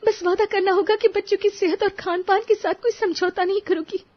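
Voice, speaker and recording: A woman's voice: very high voice, steady tone, brisk, lo-fi sound. A woman playing an anxious mother who implores insistently for help in a story. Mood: tearful, pleading. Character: anxious, pleading mother desperate for household help.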